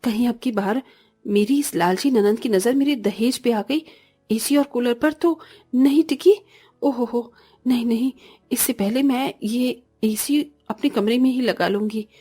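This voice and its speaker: Woman acting a resentful young bride, high voice. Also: measured pace, mellow, husky, soft background music. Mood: sarcastic, seething. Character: resentful young bride, polite outside, seething inside. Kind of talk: Hindi cartoon family-drama acting.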